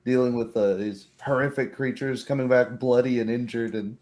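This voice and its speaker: A man's voice: mid-range voice, steady tone, normal speed, darkish tone, lightly acted. A man playing a blunt, teasing farming villager in a plainspoken, folksy way.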